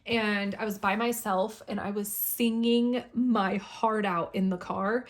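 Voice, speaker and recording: A woman with a slightly hoarse voice chatting enthusiastically as she shows her planner on camera, laughing now and then. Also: medium pitch, steady tone, slow. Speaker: planner YouTuber. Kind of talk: casual planner flip-through.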